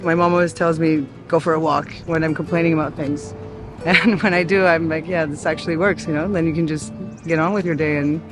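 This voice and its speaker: A woman's voice: sits low, steady tone, fairly quick, music in the background. A woman from France, a DJ and singer, talking easily in a casual interview and laughing often. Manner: easygoing, chatty, laughs often. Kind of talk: casual chat.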